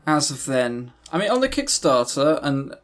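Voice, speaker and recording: Animated higher-voiced man, blunt. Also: normal speed. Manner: blunt, opinionated, sweary. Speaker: podcast co-host. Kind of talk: casual review chat.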